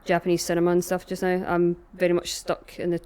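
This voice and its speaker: Lower-pitched woman in casual discussion. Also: monotone, fast, darkish tone, clean tone. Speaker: podcast panellist, game shop staff. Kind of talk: casual chat.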